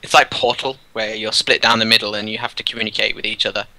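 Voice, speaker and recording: A man, a teacher, casually explaining his classroom activities while touring a game world, relaxed and rambling with many fillers. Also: fairly low pitch, quick pace, poor audio. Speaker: teacher, guest.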